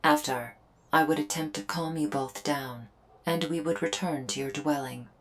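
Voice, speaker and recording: A woman's voice: sits low, animated, moderate pace. A woman playing a solemn alien invader soldier in a roleplay audio drama, speaking in a stilted, formal, earnest way. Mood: explaining, solemn. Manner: stilted, formal, literal speech. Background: background music.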